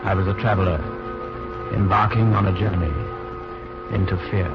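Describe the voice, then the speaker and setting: A man's voice: low voice, fairly even intonation, normal speed, close to an everyday voice. An actor playing a wary, tense Englishman on the run in an old-time radio suspense drama. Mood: brooding. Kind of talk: 1940s radio acting with first-person suspense narration. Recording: muffled old radio recording with music.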